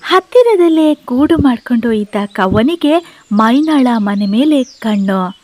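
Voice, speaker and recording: Slow-paced woman telling a children's story. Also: on the high side, animated, a little mellow. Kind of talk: scripted children's story narration.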